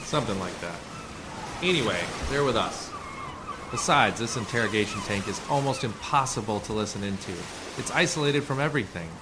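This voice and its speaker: A man playing a calm, assured undercover rescuer in a sci-fi radio play, speaking in a measured, explanatory way. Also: lowish voice, lightly acted. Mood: calm, explaining. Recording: noisy community radio drama recording.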